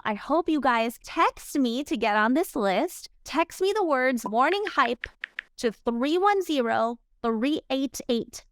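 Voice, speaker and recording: A woman's voice: fairly high pitch, clear, resonant tone, dry recording, studio quality. A woman originally from the Philippines hosting her own podcast, speaking in an earnest, encouraging way straight to her listeners. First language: Filipino language. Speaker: podcast host. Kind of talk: motivational solo podcast talk. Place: home office.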